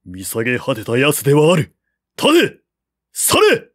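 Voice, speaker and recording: A man voicing a stern old warlord king in an audiobook, scolding in a forceful, commanding way. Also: mid-range voice, huge pitch swings, measured pace, no echo, clean studio sound, heavily acted. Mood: furious.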